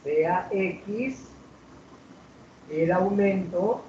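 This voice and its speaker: A man teaching math to a class, asking questions and drawing out key words slowly. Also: high voice, a little mellow, slight background noise. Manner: asks students questions, drags out key words. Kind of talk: classroom lecture. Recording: roomy, distant, dull classroom recording.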